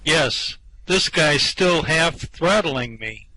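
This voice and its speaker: Man playing a sharp, highish, flustered earthman. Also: expressive, takes it slowly, heavily acted, rough recording. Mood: aggrieved, plaintive. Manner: plaintive, aggrieved, protesting. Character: flustered, indignant ordinary earthman, plaintive complainer. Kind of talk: comic sci-fi radio play acting.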